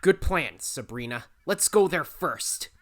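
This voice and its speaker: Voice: mid-range voice, expressive, unhurried, close to an everyday voice. An actor playing a scrappy, hot-headed young male raccoon adventurer in an audio drama. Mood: determined. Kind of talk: audio drama acting with narration.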